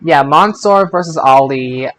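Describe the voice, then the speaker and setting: A man hosting a casual wrestling results chat, recapping in an informal, halting way. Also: a little high, crowd noise. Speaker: podcast host.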